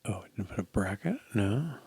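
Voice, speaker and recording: A man's voice: lowish voice, expressive, moderate pace, gravelly, a little mellow, breathy edge, no room sound. An older man giving casual think-aloud commentary as he codes, musing and muttering to himself. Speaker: programmer hosting a live coding session. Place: indoor room at home.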